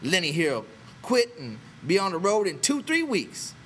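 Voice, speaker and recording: Man acting a bitter American stable hand, raised pitch. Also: huge pitch swings, moderate pace, a little hiss or hum, full character voice. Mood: scornful. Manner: scornful, brutal, forceful rural American talk. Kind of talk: dramatic audiobook character voice.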